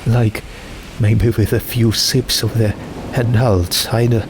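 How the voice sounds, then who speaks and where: A man's voice: lowish voice, level tone, slow, background noise. Man reading as a hesitant, downhearted teenage girl. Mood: forced cheer. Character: sad teenage girl feigning casual cheer. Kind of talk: storybook character voice in fan fiction reading.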